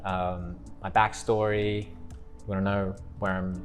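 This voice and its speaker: A young Australian man, a guest in a casual interview, talking in a relaxed, rambling way with restarts. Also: low voice, unhurried, soft background music.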